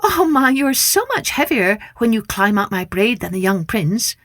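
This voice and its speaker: A woman's voice: mid-range voice, very animated, moderate pace, fairly bright tone, no echo. A woman voicing a sweet, innocent young captive maiden in a children's fairy tale, light and earnest.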